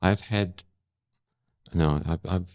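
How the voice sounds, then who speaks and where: A seventy-year-old Australian man talking reflectively as an interview guest, thoughtful and halting, repeating words for emphasis. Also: low voice, darkish tone, dry recording, clean studio sound. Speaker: interview guest, former journalist and actor.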